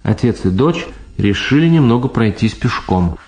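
A man reading scripted narration for a radio drama in a deliberate manner. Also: mid-range voice, animated, darkish tone, echoey room.

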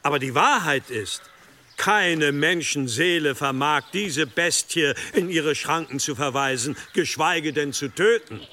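An actor in a radio drama playing an earnest missionary monk making a solemn, persuasive, declamatory address. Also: male voice, on the high side, measured pace, pushed and bright, slight background noise. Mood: grave warning. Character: earnest missionary monk preaching to hostile villagers. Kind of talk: radio drama, public oratory. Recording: faint outdoor ambience with sheep.

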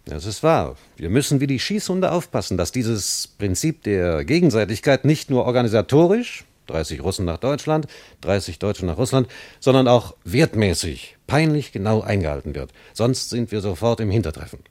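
A man's voice: on the low side, very animated, normal speed, a little mellow, no echo. A man playing a self-assured, wary, chummy West German diplomat who lectures in a German radio play. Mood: emphatic, wary. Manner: lecturing, chummy, punctuated with small tag questions.

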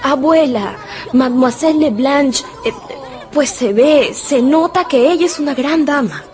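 A timid teenage granddaughter in a radio play. Her high voice is hesitant and deferential. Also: a little slow, a little mellow. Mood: timid, admiring. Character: timid, hesitant seventeen-year-old granddaughter. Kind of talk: radio drama acting.

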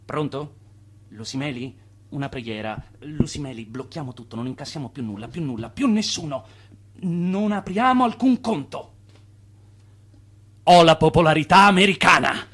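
Radio drama: confident swindler financier, immigrant made good, high voice, persuasive showman. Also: animated, normal speed, fairly bright tone, husky. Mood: smooth, assured. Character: Charles Ponzi, self-assured Italian immigrant financier and swindler.

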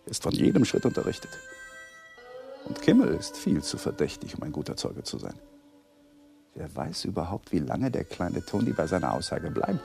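Brooding young lawyer musing in a radio play, very low voice, music behind. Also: male voice, huge pitch swings, normal speed, creaky, mellow, exaggerated voice. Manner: musing, self-justifying. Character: brooding, calculating young lawyer thinking aloud. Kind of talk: radio drama inner monologue.

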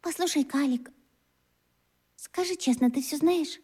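A quiet but feisty young schoolgirl in a children's radio drama. Her voice is extremely high and she takes her time over the words. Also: unhurried. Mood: hesitant, anxious. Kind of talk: children's radio play acting.

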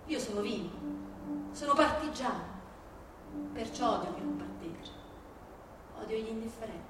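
A woman with a fairly high voice recites as if from a stage, in emphatic, measured phrases. She is heard at some distance in a very echoey space, with faint noise underneath. The delivery is dramatic and declamatory. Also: lively intonation. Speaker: stage performer reciting a political text. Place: live theatrical reading in a reverberant space. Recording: echoey hall, far from microphone, faint noise.

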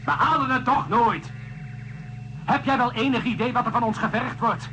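Shrill, scornful astronaut. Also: male voice, moderate pace, sharp tone, faint music behind. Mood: scornful, agitated. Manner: argumentative, mocking. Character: bickering, scornful, pessimistic male astronaut. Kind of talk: radio drama acting.

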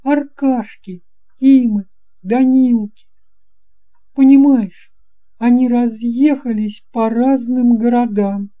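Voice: mid-range voice, fairly even intonation, slow pace, muffled recording, pitched above the performer's own voice, lightly acted. A performer voicing an earnest young boy in a children's audio story, speaking in an emphatic, childlike and insistent way. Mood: upset, regretful. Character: earnest young boy recalling lost friends.

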